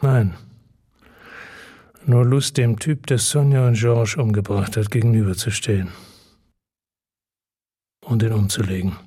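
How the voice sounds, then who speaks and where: Radio drama male lead: unhappy, vengeful loner, mellow rough-edged voice, terse and guarded delivery. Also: on the low side, steady tone, measured pace, long pauses. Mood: grim, vengeful. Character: world-weary, unhappy, distrustful man bent on revenge. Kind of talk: German radio drama acting.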